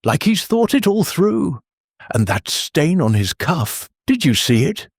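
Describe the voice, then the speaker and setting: Brief: eager curious young woman, read by a man, lively pitch, dry close sound. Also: a little slow, breathy edge, dry recording, heavily acted.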